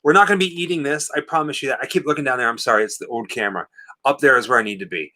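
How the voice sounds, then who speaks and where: A man with a booming deep baritone voice, co-hosting a live movie watch party in casual, apologetic chat. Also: very fast, bright, forceful tone. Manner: apologetic, chatty, reads out viewer names and thanks.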